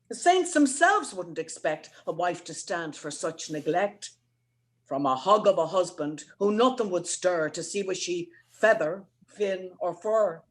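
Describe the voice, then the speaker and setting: A woman playing an indignant, sharp-tongued young woman in a theatrical, scornful dramatic reading of comic verse. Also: fairly low pitch, natural voice. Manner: scornful, theatrical, emphatic delivery.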